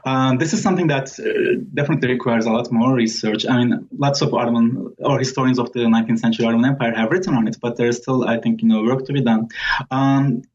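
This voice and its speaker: A man speaking as a scholarly interview guest, explaining things in a thoughtful, measured way with pauses. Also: medium pitch, steady tone, a little mellow. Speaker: guest author and historian. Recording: dull lo-fi sound, faint background noise.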